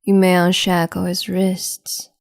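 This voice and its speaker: A woman voicing a cruel, capricious empress in an ASMR roleplay, speaking slowly and mockingly and slipping into whispers. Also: on the low side, completely flat delivery, creaky, no echo, studio quality. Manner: slow, mocking, condescending, drifts into whispers.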